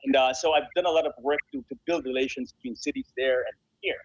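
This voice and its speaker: A man's voice: medium pitch, fairly even intonation, fast, no room sound, muffled recording. A man speaking as a guest in a conversational radio interview, emphatic and repeating phrases to stress them. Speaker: interview guest, sports association founder and honorary consul.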